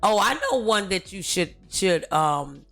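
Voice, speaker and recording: A woman's voice: medium pitch, sing-song, unhurried, creaky, a bit bright, soft background music. A woman hosting a casual interview show, effusive and encouraging with her guest. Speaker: talk show host.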